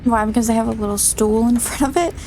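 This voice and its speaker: A woman's voice: on the high side, fairly even intonation, fast talker, warm tone, a little hiss or hum. A woman casually chatting to her viewers while she plays a video game, in a giggly, self-deprecating way. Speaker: streamer playing a video game. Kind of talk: casual let's-play commentary.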